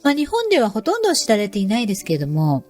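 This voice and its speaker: A woman's voice: a little high, wildly swooping pitch, racing, darkish tone, soft background music. A woman hosting a nature program, speaking politely and explaining as she introduces segments. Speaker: host. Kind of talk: radio-style narration.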